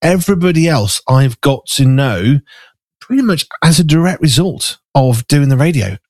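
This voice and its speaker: A man with a raspy, gravelly, hoarse voice, an animated radio host chatting effusively with many restarts. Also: a little high, moderate pace, no room sound, studio quality. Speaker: radio host and magazine editor. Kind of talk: casual conversation. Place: farmhouse.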